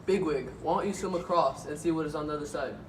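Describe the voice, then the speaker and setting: Man playing quick-talking clever rabbit, echoey room. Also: on the high side, lightly acted. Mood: eager. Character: clever, observant male rabbit with practical ideas. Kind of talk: amateur student puppet show dialogue. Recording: echoey, noisy room, far from mic.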